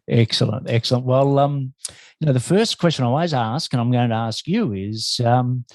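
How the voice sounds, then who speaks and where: A man's voice: mid-range voice, a little slow, no room sound, clean studio sound. A man hosting a podcast and interviewing in a conversational way.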